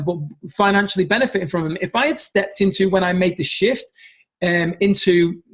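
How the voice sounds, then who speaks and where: A middle-aged man giving an earnest motivational business talk, stressing points by repeating them. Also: high-pitched. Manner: earnest, emphatic, repeats key phrases. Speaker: business coach.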